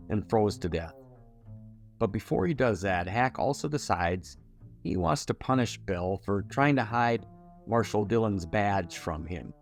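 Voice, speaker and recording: A man with a fairly low voice narrates a television retrospective. The delivery is scripted storytelling, with faint guitar music behind him. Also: darkish tone. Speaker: narrator of a TV review video.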